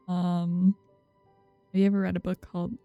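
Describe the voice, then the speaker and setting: A woman's voice: fairly low pitch, mellow, dry recording, dull audio. A woman streamer giving casual, giggly, muttered live commentary on a video game. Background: game music.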